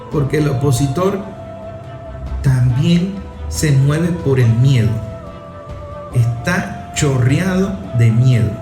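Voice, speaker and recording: A man with a Venezuelan accent, a journalist host giving political opinion commentary in emphatic, repetitive rhetorical phrasing. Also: mid-range voice. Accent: Venezuelan Spanish. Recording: roomy echo, faint music underneath.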